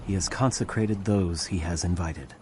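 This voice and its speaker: Actor as grave prophet, low male voice. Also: normal speed, noisy background, natural voice. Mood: ominous, solemn. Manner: solemn, emphatic declamation. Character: grave male prophet narrator proclaiming coming doom. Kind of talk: dramatized scripture narration.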